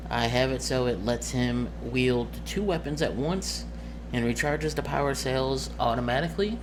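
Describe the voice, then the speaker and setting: A man's voice: medium pitch, moderate pace. A man talking casually and off the cuff as a game master, rambling and often searching for words. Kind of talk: casual off-the-cuff vlog talk. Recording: noisy room ambience, amateur recording.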